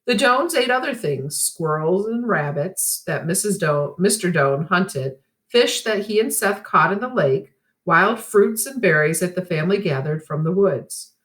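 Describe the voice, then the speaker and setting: A woman reading a children's storybook aloud like a teacher, with expressive and deliberate phrasing. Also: lowish voice, reverberant.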